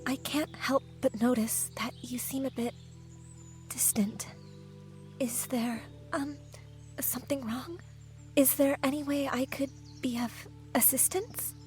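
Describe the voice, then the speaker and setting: A woman playing a shy, anxious young village woman in an audio drama, soft-spoken, hesitant and stammering. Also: high-pitched, slow, soft background music. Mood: timid concern. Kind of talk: fan audio drama acting.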